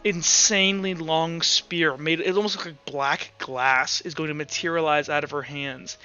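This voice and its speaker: A man's voice: on the high side, fairly even intonation, normal speed, sharp tone, dull audio. A man working as game master, narrating and refereeing an online tabletop game in a casual, profane way. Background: music.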